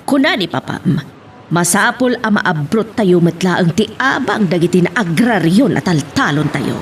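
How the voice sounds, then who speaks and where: A woman's voice: fairly low pitch, lively intonation, normal speed, natural voice. A woman playing an indignant, hot-tempered landowner's wife and mother in an audio drama, with a heated, complaining manner. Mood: assertive. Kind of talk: Ilocano audio drama acting. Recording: faint background noise.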